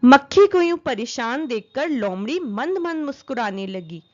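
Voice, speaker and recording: A woman narrating a children's story as an expressive storyteller. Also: sits high, a little slow, muffled recording.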